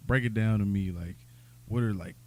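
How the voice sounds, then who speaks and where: A man's voice: on the low side, moderate pace, a little mellow. An American man co-hosting a casual podcast, slangy and chiming in with short questions and agreement. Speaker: podcast co-host.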